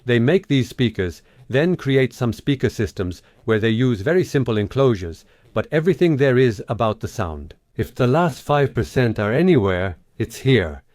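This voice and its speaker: A man's voice: mid-range voice, very animated, normal speed, few pauses, darkish tone, dry recording. A man working as an audio engineer, explaining hi-fi topics in a casual, methodical and slightly hesitant way.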